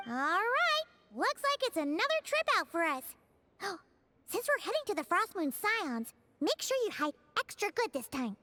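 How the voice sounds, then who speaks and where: A woman's voice: extremely high pitch, big pitch swings, normal speed, bright, forceful tone, a little hiss or hum, heavily acted. A woman voicing a small, eager, childlike fairy companion in a video game, exclamatory and caring. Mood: curious, eager. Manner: refers to self in third person, exclamatory. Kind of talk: video game character acting.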